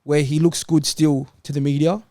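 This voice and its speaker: A man's voice: a little high, little pitch movement, normal speed, darkish tone, dry recording, studio quality. A man talking casually and argumentatively as a panelist on a football show. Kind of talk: casual debate.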